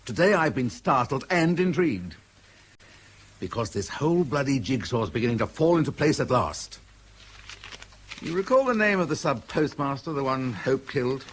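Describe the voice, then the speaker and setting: Gruff british police chief, bossy, a bit husky, voice swings all over, radio play. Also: male voice, mid-range voice, sing-song, normal speed. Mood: commanding, intrigued. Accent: British English. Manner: brusque, commanding, musing aloud. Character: gruff, domineering senior police detective, a man. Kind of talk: British radio drama acting.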